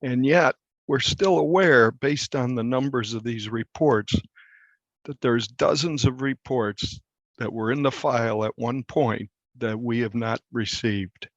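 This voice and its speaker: A male reporter answers interview questions with deliberate, halting phrasing. His voice is creaky and gravelly at an average pitch, and the recording is dry and somewhat muffled. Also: dry recording. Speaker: newspaper reporter being interviewed. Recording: dull, slightly muffled lo-fi sound.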